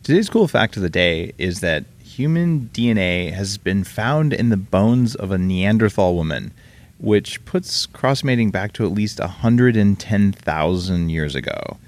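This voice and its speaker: Deep-voiced chatty man hosting. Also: expressive, a little mellow. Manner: chatty, upbeat, quick asides. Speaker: podcast host. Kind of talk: casual interview and ad read. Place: room in Hawaii, live with guest.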